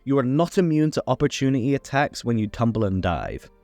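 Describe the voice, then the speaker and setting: A man's voice: medium pitch, sing-song, a little fast, dark tone, no room sound, faint music behind. A man hosting a tabletop game tutorial, reading a scripted rules explainer.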